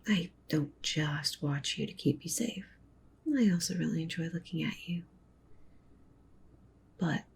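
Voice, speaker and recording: Woman voicing a low, whispery possessive wolf girlfriend. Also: a little hiss or hum. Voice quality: soft, breathy whisper mixed with low murmur. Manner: coaxing, pet names, softly commanding. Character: possessive, doting yandere wolf witch girlfriend, dominant. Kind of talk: ASMR roleplay, whispery intimate acting.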